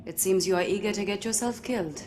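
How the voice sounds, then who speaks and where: A woman plays a sly, poised confidante in a game cutscene. Her mid-pitched voice is teasing and unhurried, over faint ambient noise. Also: a little mellow, close to an everyday voice. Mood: wry teasing. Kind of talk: dramatic video game cutscene acting.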